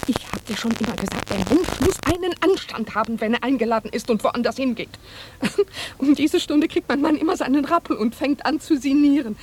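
Nagging country wife, prim and chattering, scolding delivery. Radio play on noisy old cassette. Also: female voice, high voice, expressive, a little fast, a little mellow. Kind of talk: radio drama acting. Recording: clear hiss on old cassette recording.

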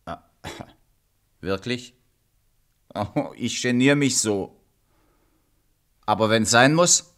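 An actor playing a cheeky, naive, boastful clown in a fairy-tale radio play, exclamatory and clownish. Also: male voice, mid-range voice, drawn-out words, long pauses, a bit bright. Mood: bashful. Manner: exclamatory, clownish, nonsense catchphrases.

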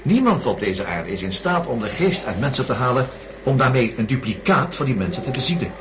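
A man voicing a patient radio-play doctor who explains his reasoning at length. His voice rises and falls a lot as he lays out each point. There is clear noise under the recording. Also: mid-range voice, very animated, fairly quick, natural voice. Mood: earnest. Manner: explanatory, earnest. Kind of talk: radio drama acting.

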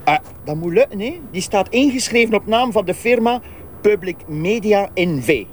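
An actor playing an eager, chatty police officer outdoors, with a Flemish accent. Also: male voice, high-pitched, normal speed. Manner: animated and chatty. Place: outdoors at a car park. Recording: faint outdoor noise.

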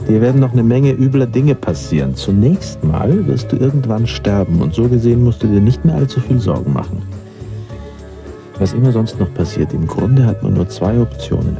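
Gravelly, laid-back young buddy. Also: male voice, medium pitch, expressive, music in the background. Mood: dry, musing. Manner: dry, philosophizing, unhurried. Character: laid-back young male buddy dispensing cynical life advice. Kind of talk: naturalistic radio play dialogue.

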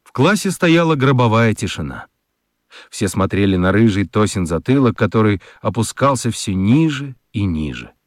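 A man narrating a children's radio play as an expressive storyteller, with deliberate dramatic pauses. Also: lowish voice, dry recording, studio quality. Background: prominent music.

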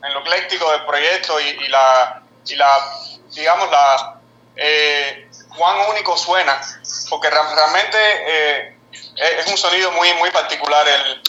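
A man's voice: fairly high pitch, unhurried, bright, forceful tone, reverberant, highs cut off, slight background noise. A man in a band giving casual interview answers, stammering and restarting his sentences. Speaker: band member guest.

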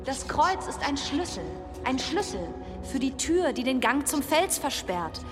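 A woman's voice: high voice, very animated, a little slow. A woman playing a sly, taunting demonic presence in a radio play, mocking and coaxing. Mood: gleeful taunting. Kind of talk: German radio play acting. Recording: distant, long reverberant hall, music.

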